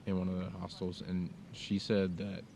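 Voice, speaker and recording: A young man from the United States co-hosting a podcast, talking things through in a halting, thoughtful way with many fillers and restarts. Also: sits low, completely flat delivery, vocal fry, warm tone, a little hiss or hum. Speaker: podcast co-host. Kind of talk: casual conversation. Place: outdoors in the mountains.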